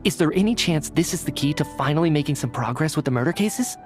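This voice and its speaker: An actor playing an earnest, careful young male researcher in a video game, speaking in a deliberate, articulate way as he reasons aloud. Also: on the high side, lively intonation, faint music behind, close to an everyday voice. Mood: analytical. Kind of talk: anime-style video game cutscene acting.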